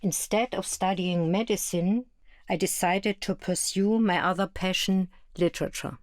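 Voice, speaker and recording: A woman's voice: medium pitch, a little slow, no room sound. A woman from East Germany giving a scripted first-person narration.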